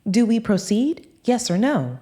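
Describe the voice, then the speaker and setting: A woman voicing a polite, coolly menacing female ship AI with formal, measured announcements in a sci-fi audio comedy. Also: fairly high pitch, very animated, dark tone. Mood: insistent, cool.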